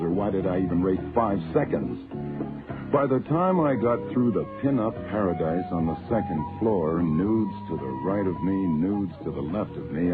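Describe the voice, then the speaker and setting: An actor playing a breezy, self-assured private detective with a flippant, colloquial delivery in a radio drama. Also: male voice, sits low, natural voice. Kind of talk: hardboiled detective radio drama, narration and dialogue. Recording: tinny band-limited old radio, music behind.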